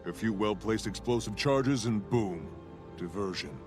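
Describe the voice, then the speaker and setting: A man's voice: fairly low pitch, expressive, a little slow, music in the background. An actor playing a bold, resolute male warrior hero in a fantasy video game, assured and straightforward. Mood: resolute, friendly.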